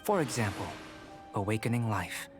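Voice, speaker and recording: Man voicing a measured, cerebral young alchemist. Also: on the low side, expressive, lightly acted. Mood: thoughtful. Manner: measured, articulate, cerebral, formal. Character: young male alchemist scholar, calm, detached, intensely curious. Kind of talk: video game voice acting. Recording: background music.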